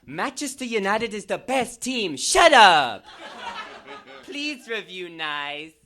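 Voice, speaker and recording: A man's voice: sits high, very animated, slow pace, crowd noise, noisy background, exaggerated voice. A man performing a comedic reading as a melodramatic, gushing nineteen-year-old fangirl telling her own story with exclaiming emphasis.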